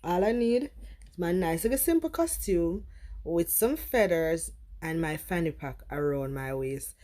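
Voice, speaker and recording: A woman's voice: on the low side, expressive, unhurried, dark tone. A young woman with a Trinidadian accent, chatting casually to her viewers in a vlog and slipping into Trini creole. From Trinidad and Tobago. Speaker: vlogger.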